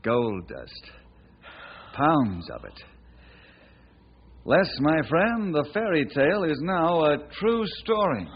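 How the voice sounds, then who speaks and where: Husky, steady old-radio ranger hero. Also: male voice, a little high, slow pace, pauses often, full character voice. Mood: eager. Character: steady, kindly forest ranger hero, sharp-minded. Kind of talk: old-time radio western acting. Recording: muffled old-time radio recording.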